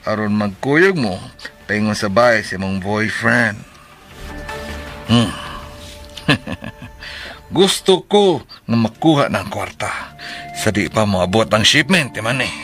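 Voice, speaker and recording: A man's voice: fairly low pitch, animated, slow pace, long pauses, fairly bright tone. A man with a Cebuano Filipino accent playing a greedy, mocking crime accomplice in a radio drama. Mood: sly, menacing. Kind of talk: Philippine radio drama acting. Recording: radio drama with music underneath.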